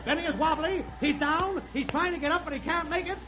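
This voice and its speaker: A man playing an excitable, urgent play-by-play football announcer in a 1930s radio comedy. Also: extremely high pitch, fairly quick, dull audio. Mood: frantic, dramatic. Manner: urgent, exclaiming, mock-dramatic. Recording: noisy 1930s live radio broadcast.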